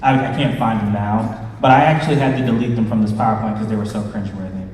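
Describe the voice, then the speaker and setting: A man's voice: lowish voice, steady tone, fast talker, a crowd in the background. A man hosting a casual fan panel, talking informally to the room as the host. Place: large convention panel room. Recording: echoey hall, distant from the mic.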